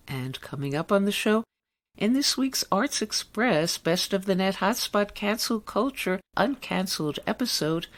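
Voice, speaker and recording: Woman presenter, medium register, scripted arts-radio narration with a deliberate, wordy delivery. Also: mid-range voice. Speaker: radio show host.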